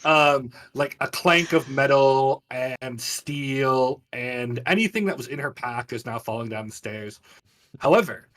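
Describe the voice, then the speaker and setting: A man's voice: medium pitch, measured pace, a bit bright. A man runs a tabletop fantasy game as its narrator. He describes scenes richly and theatrically. The microphone sounds some distance from him. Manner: descriptive storytelling with dramatic detail. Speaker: game master. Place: live online game stream.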